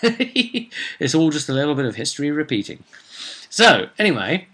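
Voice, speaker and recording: A man's voice: on the high side, sing-song, moderate pace, bright, forceful tone. A man telling the story of a tabletop roleplay session as game master, in a rambling, conversational way with repeated phrases.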